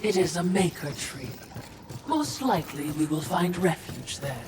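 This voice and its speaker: Sly, echoing servile spirit. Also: female voice, on the low side, sing-song, noisy background. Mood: sly, knowing. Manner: formal, insinuating, deliberate. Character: Watcher, sly, servile female shadow spirit attending her mistress. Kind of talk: video game cutscene acting. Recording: echoing, distant-sounding voice over music.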